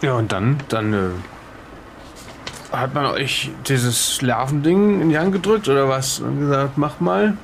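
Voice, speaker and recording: A man's voice: mid-range voice, unhurried, vocal fry, slight background noise. A man playing an inquisitive questioner, probing in a colloquial way in an improvised audio drama. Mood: sceptical, curious. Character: inquisitive male questioner probing a strange event.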